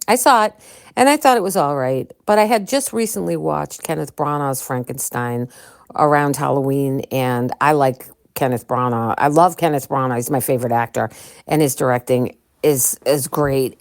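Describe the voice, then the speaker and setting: A woman co-hosting a podcast, talking casually and excitably to listeners, with lots of ums and restarts. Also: a bass voice, moderate pace, no room sound, studio quality. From Chicago. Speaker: podcast co-host.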